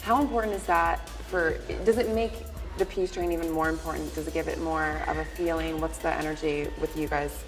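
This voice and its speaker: A woman hosting an entertainment show, asking upbeat, quick interview questions. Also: low-pitched, steady tone, over music. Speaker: show host.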